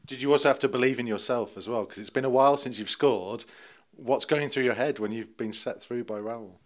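A man's voice: mid-range voice, brisk. Man interviewing a footballer after a match. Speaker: club TV interviewer. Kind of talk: post-match interview questions.

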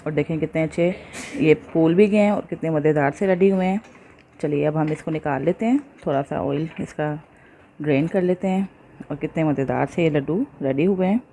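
A woman's voice: low voice, fairly quick, warm tone, slight background noise. A woman hosting a home cooking video, explaining each step to her viewers in a friendly, patient way. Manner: friendly, addresses viewers often, mixes in English words. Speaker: cooking channel host. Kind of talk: step-by-step recipe voice-over.

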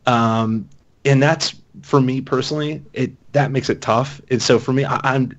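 A man's voice: medium pitch, steady tone. American guy podcast cohost, kinda muffled mic, keeps restarting his sentences. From United States, Texas and Northern Virginia. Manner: halting, hedging, restarts often. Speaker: podcast co-host. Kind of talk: casual chat.

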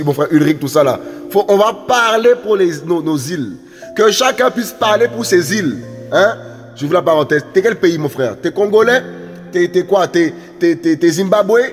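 A man's voice: high-pitched, big-room sound. A man preaching an impassioned sermon, repeating phrases and calling out hallelujahs. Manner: repetitive, exhorting, calls out "Alléluia". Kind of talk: impassioned street-style sermon.

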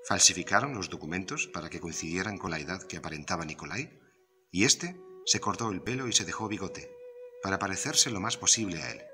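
A man with a low-pitched voice narrates a serialized mystery story in a scripted, storytelling style. Music plays clearly behind his voice. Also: fairly quick. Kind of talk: scripted narration of an audio drama novel.

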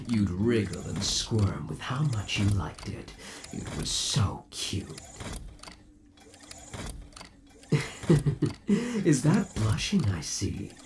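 A man's voice: lowish voice, background noise, heavily acted. A man playing an undead, obsessive boyfriend trapped in a mechanical suit, sweet-talking and possessive in a theatrical ASMR roleplay. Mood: doting, menacing. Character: undead yandere boyfriend trapped in springlock suit, menacingly doting. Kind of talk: ASMR roleplay, scripted monologue to the listener.

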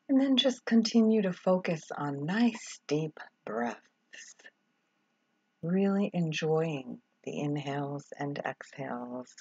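A low, breathy woman's voice leads a guided meditation at an extremely slow pace. She speaks calmly in short, measured phrases and leaves long pauses between them. The recording is a little dull. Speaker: yoga relaxation instructor. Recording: dull, roomy, echoing sound.

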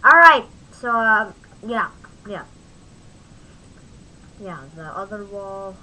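A woman giving a casual software tutorial in an informal, halting way, often interrupting and talking to herself. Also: mid-range voice, expressive, poor audio. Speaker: tutorial host. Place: at home, at a computer.

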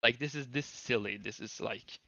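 A man hosting a heated casual debate over a voice call, insistent and quick to cut others off with repeated words. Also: medium pitch, muffled recording, crowd noise. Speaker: debate host. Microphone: voice call mic.

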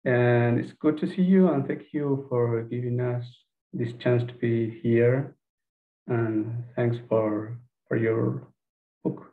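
A man in his fifties with an Argentine accent, a native Spanish speaker, making a careful, halting comment on a video call through a headset. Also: medium pitch, fairly even intonation. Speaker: audience member making a comment. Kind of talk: careful prepared remarks. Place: indoor room on a video call. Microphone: headset boom microphone. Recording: narrow phone-like video call audio.

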